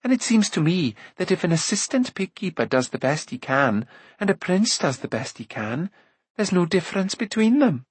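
A man voicing a spirited young princess with tart, teasing retorts, pitched higher than his own voice. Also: big pitch swings, normal speed, husky, no room sound, full character voice.

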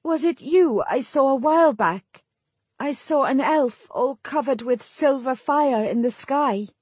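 A woman's voice: sits high, a little slow, a little mellow, no echo, pitched above the performer's own voice. A woman voicing a shaken, bitter young woman in an audiobook, raw with emotion. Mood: fearful. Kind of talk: audiobook character acting.

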